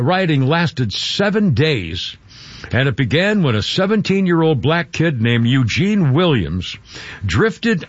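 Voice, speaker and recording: A man's voice: fairly high pitch, no room sound, dull audio. A man hosting a radio show, talking in a rambling, folksy way with many fillers and restarts. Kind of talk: casual talk radio monologue.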